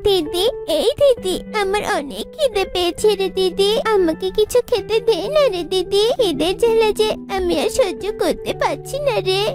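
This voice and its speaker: Woman as a very high-voiced pleading little bird girl. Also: expressive. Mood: whining, pleading. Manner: whining, drawn-out pleading. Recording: music behind the voice.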